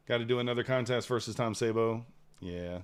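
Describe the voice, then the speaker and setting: A man's voice: mid-range voice, clean tone. A man, hosting a live trading stream in casual chat, rambling and replying to chat. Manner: relaxed, rambling, reads chat aloud. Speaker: livestream trader host.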